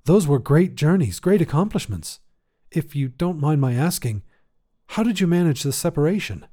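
A man's voice: on the high side, big pitch swings, moderate pace, mellow, pitched above the performer's own voice. A man voicing a respectful, lovelorn young station watchman in a science fiction story, with a hesitant, deferential manner. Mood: curious, polite. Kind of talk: acted dialogue in science fiction story reading.

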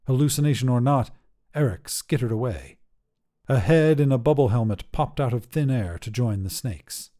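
A male podcast host reads a short story in an expressive way. His voice is mid-pitched and mellow, with very animated intonation that rises and falls through each sentence. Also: normal speed. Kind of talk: scripted short story narration.

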